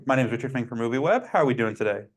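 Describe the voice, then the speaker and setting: A man's voice: mid-range voice, expressive, rapid-fire, music in the background. A man interviewing film actors, asking questions in a chatty, enthusiastic way and often using fillers.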